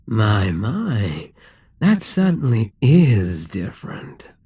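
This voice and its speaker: A man playing a dominant, gloating Demon King in an intimate ASMR roleplay, smug and coaxing, with a breathy, husky voice that often drops toward a whisper. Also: fairly low pitch, sing-song, extremely slow, dark tone, no room sound, studio quality, heavily acted. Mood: mocking, seductive. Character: dominant, gloating male Demon King toying with a captive hero.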